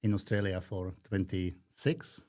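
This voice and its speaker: Slovak-accented man, low mellow voice. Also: steady tone. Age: 50s. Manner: reflective, earnest, with frequent pauses and fillers. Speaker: podcast guest. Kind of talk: conversational interview.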